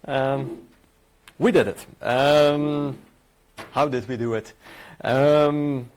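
A man's voice: medium pitch, fairly even intonation, extremely slow, a little mellow, a little hiss or hum. A middle-aged man whose native language is Dutch, speaking English with a Dutch accent as he presents a technical conference talk, hesitant and full of filler sounds and restarts.

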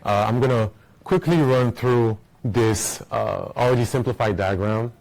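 A man's voice: mid-range voice, unhurried, vocal fry, a little mellow, poor audio. A man from Belgium giving a casual technical conference talk to a room, often checking in with "right?" between points. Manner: casual, explaining, frequent "right?" and "uh" fillers. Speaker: conference speaker. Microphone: small recording mic.

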